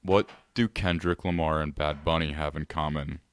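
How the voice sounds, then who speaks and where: Very deep-voiced joking podcast man. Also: fairly even intonation, normal speed, clear, resonant tone. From United States. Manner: joking, sarcastic asides. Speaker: podcast co-host. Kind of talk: casual podcast chat.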